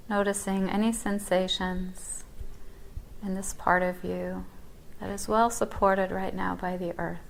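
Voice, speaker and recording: A woman yoga teacher guiding a body scan meditation in a yoga class, speaking softly and gently, her words often trailing into near-whispers. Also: medium pitch, flat delivery, a little slow, creaky, slight background noise.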